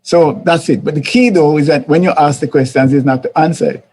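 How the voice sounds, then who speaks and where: A man's voice: on the high side, steady tone, a little fast, a little mellow. A man with a Jamaican accent giving an informal church teaching talk, conversational and repetitive, often chuckling and acting out quoted voices. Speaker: teacher of a church life series.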